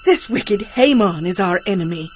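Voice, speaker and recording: A woman's voice: medium pitch, lively intonation, normal speed, darkish tone, faint music behind, natural voice. An actress playing a regal, earnest young queen in a dramatized audio Bible, speaking formally and dramatically. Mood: impassioned, accusing.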